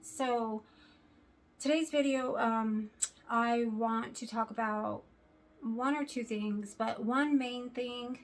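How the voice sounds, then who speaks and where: Slow, raspy woman with a sore throat. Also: a little high, rough recording. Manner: earnest, hesitant, many fillers. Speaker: vlogger. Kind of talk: casual talk to camera.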